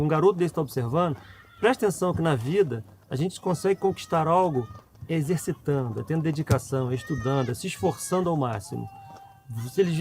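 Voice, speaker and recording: Quick-talking guy, kinda high, preaching effort to the kids, light music. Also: darkish tone, a little hiss or hum. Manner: urgent, preachy encouragement. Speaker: interviewee. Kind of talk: exhorting talk to young viewers.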